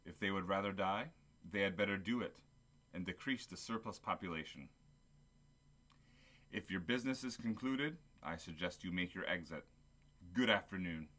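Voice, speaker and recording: Guy acting as stingy older uncle in a radio play, sardonic and curt, lowish forceful voice. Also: moderate pace, long pauses. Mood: cold contempt. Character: cold miserly older businessman uncle, sarcastic and dismissive.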